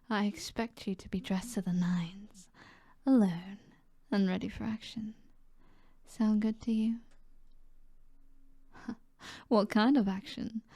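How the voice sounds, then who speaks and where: Woman playing a sultry, mellow British mob boss. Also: mid-range voice, dry recording, studio quality. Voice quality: soft, breathy, often dropping to a whisper. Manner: flirtatious, teasing, unhurried. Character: seductive, self-assured female mob boss toying with a detective. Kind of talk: ASMR roleplay acting.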